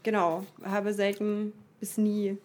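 A woman's voice: mid-range voice, flat delivery, a little mellow. A woman co-hosting a podcast in casual chat, often chiming in to agree. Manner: quick, agreeing interjections. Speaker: podcast co-host.

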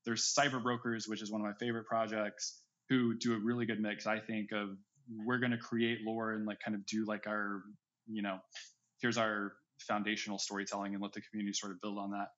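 A man's voice: on the low side, little pitch movement, brisk, a bit bright. A man speaking as a podcast guest in casual, discursive interview chat, often hedging as he explains. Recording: slightly dull, lo-fi audio.

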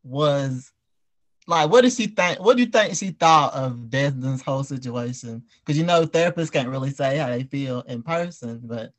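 A man's voice: medium pitch, normal speed. A man hosting a casual group interview, speaking in an informal, chatty way. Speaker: host and audio drama writer.

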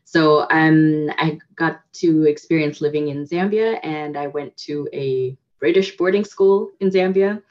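A woman with a deep, low voice tells her story as an interview guest, reflective and full of fillers and restarts. The audio is dull and lo-fi, like a video call. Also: unhurried, few pauses, a little mellow. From United States. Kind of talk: casual interview.

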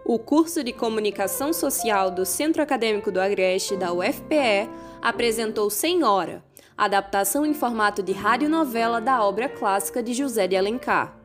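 Radio credits announcer: Brazilian woman, fairly high voice, scripted read over light music. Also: expressive, moderate pace.

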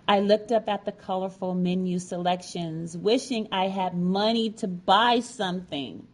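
A woman storyteller narrates in a slow, measured way. Her phrasing is deliberate and dramatic, as in a prepared personal story read aloud. Her pitch sits in a middle range for a woman. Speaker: featured storyteller.

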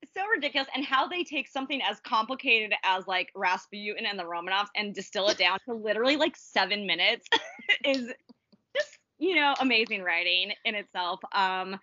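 A woman's voice: medium pitch, expressive, moderate pace, sharp tone, no room sound, highs cut off. A young woman co-hosting a podcast in casual chat, bubbly and giggly. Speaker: podcast co-host.

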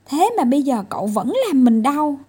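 A woman's voice: sits high, lively intonation, normal speed, a little mellow, husky, pitched above the performer's own voice. A woman voicing a whiny, stubborn little boy in a children's storybook, yelping and complaining about pain.

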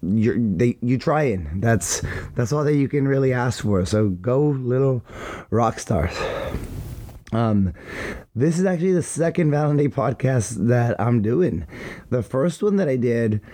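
A man hosting a casual solo podcast, rambling with hype-man banter and a slightly hoarse voice after a wild weekend. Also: mid-range voice, lively intonation, unhurried, mellow. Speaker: podcast host.

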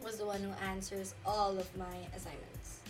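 A woman's voice: medium pitch, level tone, normal speed, recorded from a distance, music in the background. Peppy nineteen-year-old woman, a bit off-mic. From Philippines. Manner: peppy, giggly, upspeak-prone. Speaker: podcast co-host. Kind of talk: casual chat.

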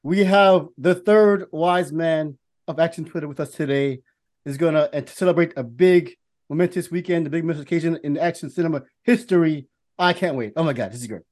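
A male podcast co-host with a notably high voice who talks in an excitable, pumped-up rush. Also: a little fast. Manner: excitable, hyped.

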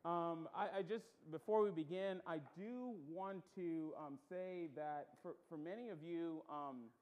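Pastor with a light Bahamian accent. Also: male voice, fairly high pitch, a little slow, darkish tone. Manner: conversational, many ums and restarts, rising to emphatic stresses. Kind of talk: sermon.

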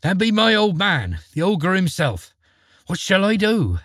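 A man voicing a bossy country giantess, the ogre's wife, scolding and tut-tutting in a raised, lively voice. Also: high voice, unhurried, dry recording, studio quality, voice pushed up, full character voice. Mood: urgent.

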